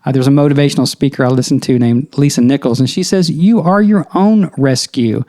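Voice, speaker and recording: A man's voice: medium pitch, a little fast, mellow. A middle-aged man hosting a podcast, earnestly exhorting songwriters with rhetorical questions in a motivational monologue. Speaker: podcast host and songwriting coach.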